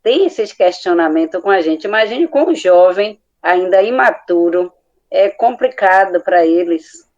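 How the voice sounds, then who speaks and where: Muffled lo-fi audio. Mature female, Brazilian accent, lowish voice, discussion participant, halting delivery as she looks for words. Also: expressive, a little mellow. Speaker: study group participant. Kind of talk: informal discussion comment.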